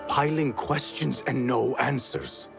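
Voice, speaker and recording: An actor playing a troubled, earnest young assassin in a video game. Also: male voice, medium pitch, takes it slowly, vocal fry. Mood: troubled, haunted. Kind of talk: dramatic video game dialogue. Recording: loud music behind.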